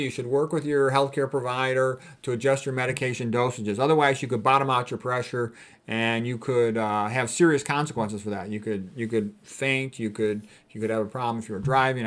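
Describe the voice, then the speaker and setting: A man giving an informal health talk as a presenter, explaining and commenting on quotes in a plain-spoken, colloquial way. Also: mid-range voice. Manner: plain-spoken, colloquial, often adding "okay" and "you know". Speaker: physician presenter of health talks.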